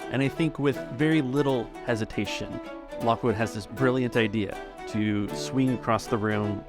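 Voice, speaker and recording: A man's voice: mid-range voice, moderate pace, music in the background. A man running a tabletop roleplaying game as game master, narrating scenes and refereeing the players' choices.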